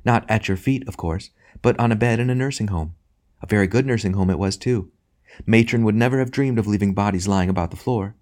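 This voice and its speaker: Audiobook role, male reader: blessed lady spirit, tender, earnest, quietly amused, pitched well above a man's talk. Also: a little fast, natural voice. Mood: amused teasing. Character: serene, joyful, loving lady spirit, gently teasing. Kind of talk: audiobook character acting.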